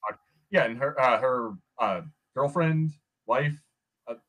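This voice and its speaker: A man's voice: mid-range voice, takes it slowly, fairly bright tone. An American man co-hosting a podcast, chatting casually and rambling as he rephrases his points in a review discussion. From United States. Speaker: podcast co-host. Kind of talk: casual film and TV review chat.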